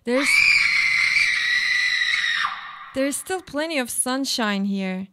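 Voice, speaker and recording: An actress plays a guarded, weary woman in a live radio play. Her voice sits fairly high, and she delivers literary lines deliberately, as a declamatory monologue of self-consolation. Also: animated, raised voice. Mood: quietly content.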